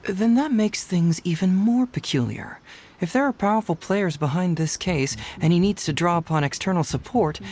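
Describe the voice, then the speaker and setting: An actor playing a young male detective in a video game, quick-witted, easygoing and teasing. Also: high-pitched, lively intonation, a little mellow. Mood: sly, reasoning. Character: young male detective, sharp and playfully confident. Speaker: detective character.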